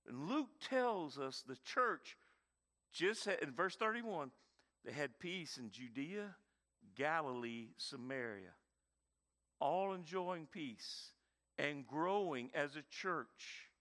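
A man, preaching a sermon with dynamic emphasis and quiet, intense stretches. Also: a little high, lively intonation, takes it slowly. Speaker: pastor.

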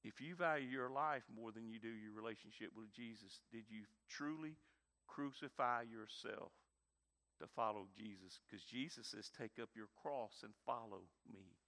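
A man, preaching a sermon with dynamic emphasis and quiet, intense stretches. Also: fairly low pitch, moderate pace. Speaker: pastor.

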